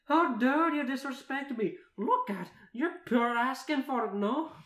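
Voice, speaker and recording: A man voicing a fierce, indignant young animated princess in loud rants. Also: sits high, a little slow, echoey room, voice pushed up. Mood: enraged.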